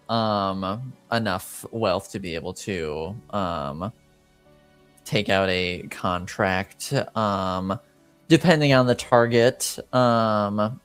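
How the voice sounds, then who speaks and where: Slow, halting man, smooth low voice. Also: dry recording. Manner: hesitant, halting delivery with long pauses. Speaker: game master. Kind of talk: tabletop roleplaying game narration and in-character dialogue.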